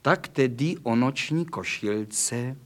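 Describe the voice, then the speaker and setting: A man's voice: mid-range voice, animated, natural voice. A man voicing a caring female cat who coos tender pet names. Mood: calm.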